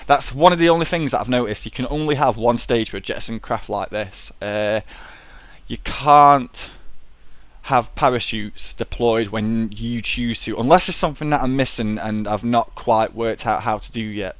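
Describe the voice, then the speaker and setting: A man's voice: medium pitch, moderate pace, fairly bright tone, no echo. A man with a cold, casually rambling and swearing as he plays and talks through a space game at his computer. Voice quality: swears freely, rambling and chatty. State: sniffly with a cold. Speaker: gameplay commentator. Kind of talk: casual let's-play commentary.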